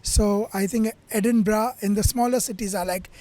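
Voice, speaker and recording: A man's voice: sits high, fairly even intonation, moderate pace, mellow. A man from India who travels widely, answering a street interview in a wry, storytelling way.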